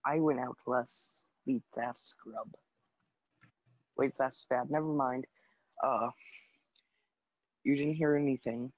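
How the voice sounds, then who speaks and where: A man's voice: mid-range voice, fairly even intonation, slow, pauses often, no echo. A young man in casual chat as a co-host, giving short, teasing replies.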